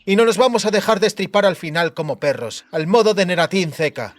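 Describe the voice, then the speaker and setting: A man voicing a proud, defiant female soldier with a hoarse voice in a fantasy audiobook. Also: high voice, animated, a little fast, pitched above the performer's own voice.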